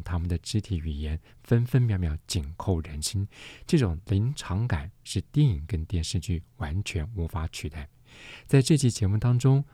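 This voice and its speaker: A man's voice: deep voice, mellow, husky, no echo. A man with a Taiwanese accent hosting a podcast interview in a polished, articulate broadcast delivery. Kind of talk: scripted podcast introduction and interview.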